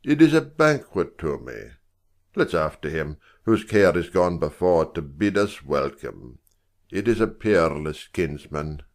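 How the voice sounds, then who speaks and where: A man's voice: extremely low pitch, very animated, a little slow, exaggerated voice. A man playing a gracious, grateful king in a dramatic Shakespearean reading, speaking in a stately, declamatory way. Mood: approving. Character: gracious, grateful old Scottish king bestowing honours.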